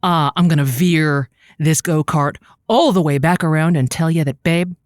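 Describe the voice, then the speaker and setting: A woman's voice: deep voice, fairly even intonation, moderate pace, no echo, clean studio sound, lightly acted. A woman playing a feisty, theatrical call-in radio host who gives folksy, teasing advice. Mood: fired up. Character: sassy, feisty female call-in radio agony aunt. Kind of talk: improvised comedy call-in advice show.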